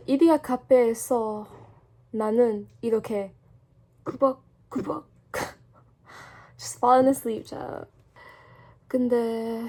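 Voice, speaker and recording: A young woman talking casually to her camera while ill, her voice weak and a little strained. Her voice sits high, yet its tone is dark and mellow. She chats loosely, laughs often and drifts between English and learner Korean. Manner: chatty, laughing, lots of "like" fillers. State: weak, sick voice. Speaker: vlogger and language student.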